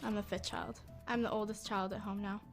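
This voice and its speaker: A young woman in a taped segment, quietly recounting hard family times. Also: mid-range voice, flat delivery, brisk, faint music behind. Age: young adult.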